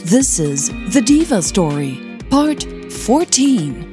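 A woman podcast host reading scripted fiction as narrator, in a measured storytelling manner. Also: mid-range voice, big pitch swings, music in the background.

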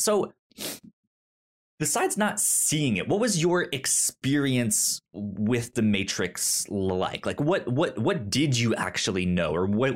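A man in his thirties hosts a relaxed podcast chat, often tripping over his words and repeating the starts of them. He talks slowly, and his pitch swings about a great deal. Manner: halting, many repeated starts and stammers. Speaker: podcast host. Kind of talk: casual chat.

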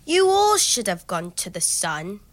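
A woman's voice: mid-range voice, huge pitch swings, measured pace. A woman playing an eager, pleading young grandson in an audio story. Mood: curious.

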